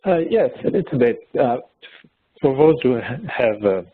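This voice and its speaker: A man from French-speaking Switzerland with a Swiss French accent, speaking at a conference in a halting way with restarts. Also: medium pitch, animated. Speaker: co-presenter. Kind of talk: conference presentation. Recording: tinny phone-like audio, husky.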